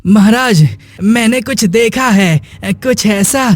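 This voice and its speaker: A man voicing a greedy, excited village informer in a cartoon moral story. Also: sits high, takes it slowly. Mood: eager, excited.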